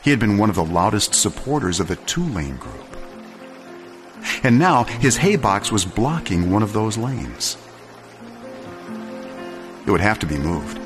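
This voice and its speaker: Deep-voiced male storyteller, expressive and measured. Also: faint music behind. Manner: measured, solemn, expressive storytelling with deliberate pauses. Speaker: storyteller, narrator. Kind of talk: dramatic scripted story reading.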